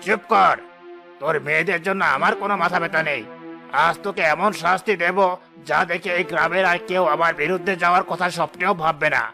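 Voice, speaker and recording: A man's voice: high voice, steady tone, quick pace, gravelly, pushed and bright, faint music behind, heavily acted. A man playing a cruel, arrogant village landlord in a cartoon, domineering and threatening as he gives commands. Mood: furious threatening. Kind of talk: dramatic cartoon voice acting.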